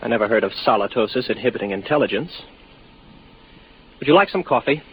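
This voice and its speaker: An actor playing a weary, isolated space officer in a 1950s radio drama, with a wry, deliberate delivery. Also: male voice, mid-range voice, lively intonation, lightly acted. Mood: wry, guarded. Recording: dull, noisy 1950s radio recording.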